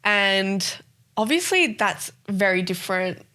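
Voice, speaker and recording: A woman's voice: mid-range voice, expressive, unhurried, pushed and bright. A woman in her twenties with an Australian accent, from South Africa, Afrikaans her first language, chatting casually as a podcast host and filling her talk with "like". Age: 20s. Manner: chatty, many "like" fillers. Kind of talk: casual chat.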